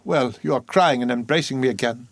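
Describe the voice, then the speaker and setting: A man voicing an anguished, bitter young murderer in a dramatic audiobook reading, agitated, brooding and halting as he confesses. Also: mid-range voice, no echo, pitched above the performer's own voice, lightly acted. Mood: despairing. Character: anguished, guilt-ridden young male murderer confessing, bitter and self-loathing.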